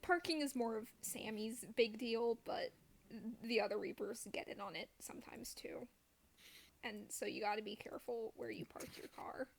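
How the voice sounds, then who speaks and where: A woman's voice: a little high, normal speed, creaky, poor audio. A woman chatting casually as she streams a game, joking in a self-deprecating way. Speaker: streamer playing a video game. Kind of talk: casual chat while gaming.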